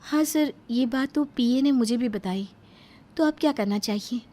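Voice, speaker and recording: A woman acts out an earnest young daughter-in-law in a storybook drama. Her voice is high, and she speaks politely, with the sincerity of someone who has been wronged. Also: normal speed, warm tone, natural voice. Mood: composed. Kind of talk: dramatised moral story acting.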